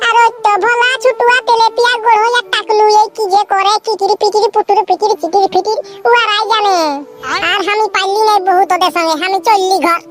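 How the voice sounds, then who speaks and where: A performer voicing a lively, bossy and playful cartoon villager in comic Bengali with a Purulia accent. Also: extremely high pitch, fast talker. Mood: grumbling. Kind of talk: comic regional cartoon dialogue. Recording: music under the voice. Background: music.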